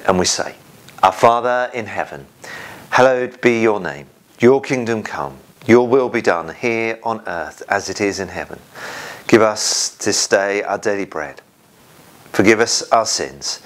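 Slow-paced middle-aged male minister. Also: on the low side, fairly bright tone. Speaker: church minister leading worship. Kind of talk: online worship service leading, prayer and notices. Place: small home conservatory, indoors.

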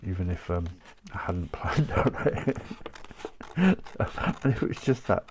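A man's voice: deep voice, sing-song, slow, noisy background. A man with a chesty cough, chatting in a rambling way and laughing often while cutting paper at his craft desk. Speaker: craft channel host. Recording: paper crinkling close by.

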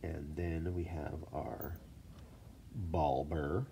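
A man with a stuffy voice from a cold, casually explaining stone setting in a relaxed, self-deprecating way at his workshop desk. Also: a bass voice, drawn-out words, gravelly, slight background noise. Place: workshop desk, filmed from above.

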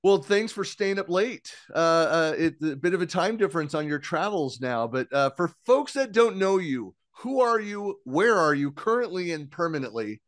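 A man's voice: a little high, very animated, moderate pace, hardly any pauses, dry recording. A man hosting an interview show from a home studio, greeting his guest and asking questions in an upbeat, friendly way. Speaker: interview host. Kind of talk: casual interview chat. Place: home studio with shelves and lights, indoors. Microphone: studio mic on a boom arm.

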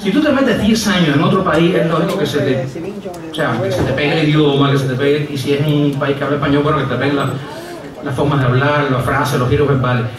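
A middle-aged man with a Cuban accent delivers a deadpan, rambling comic monologue in a slightly raised voice. The sound comes from a distance and rings around the venue, with a crowd audible behind him. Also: on the high side, fast talker. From Cuba. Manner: wry, deadpan, digressive, with many hesitations. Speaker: comedian and writer. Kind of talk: stand-up monologue. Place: outdoor stage at night. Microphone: stage mic on a stand. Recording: echoey live outdoor sound from far off. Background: audience laughing.

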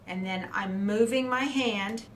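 A woman's voice: medium pitch, measured pace. Woman teaching a craft in an echoey room. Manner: instructional, methodical. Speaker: quilting instructor. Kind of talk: hands-on demonstration, explaining step by step. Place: indoor craft studio at a cutting table. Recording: roomy echo, clear background noise.

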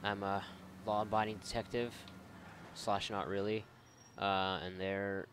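A man's voice: fairly low pitch, level tone, a little slow, a bit bright. A man giving casual, sarcastic, sweary video game commentary with mock exasperation. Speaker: video game let's-player. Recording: faint game noise behind voice.

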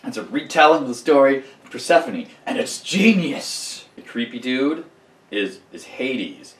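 A man's voice: mid-range voice, big pitch swings, measured pace, a bit bright. A man chatting casually and teasingly from off camera, sounding distant in the room. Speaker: off-camera companion. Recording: roomy, distant off-camera voice, faint noise.

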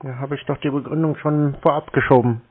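A man answering cold calls in casual, terse, deadpan stonewalling replies. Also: a little high, a little fast. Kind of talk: casual phone talk. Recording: thin, band-limited phone call sound.

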